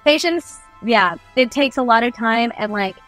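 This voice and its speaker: A woman's voice: fairly high pitch, animated, normal speed, a bit bright. Chatty young woman over music. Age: young adult. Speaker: guest voice actor. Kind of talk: casual video interview, giving advice. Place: small indoor room, on a video call.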